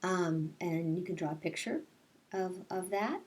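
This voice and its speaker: Slow-paced woman reading to young children. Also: fairly low pitch, a little mellow. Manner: simple, explanatory talk for young children. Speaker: kindergarten teacher reading story time. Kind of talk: picture-book read-aloud with casual asides to children.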